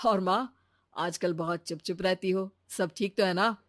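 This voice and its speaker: A woman playing a supportive grown son, earnest and gentle, in a cartoon moral story. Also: on the low side, fairly even intonation, a little slow, no echo, natural voice. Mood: concerned, encouraging. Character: caring, supportive adult son and young husband.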